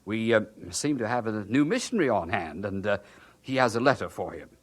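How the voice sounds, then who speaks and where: A man's voice: fairly low pitch, animated, brisk. An actor playing a polite, flustered missionary in his thirties in an audio drama, hesitant and stammering. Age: 30s.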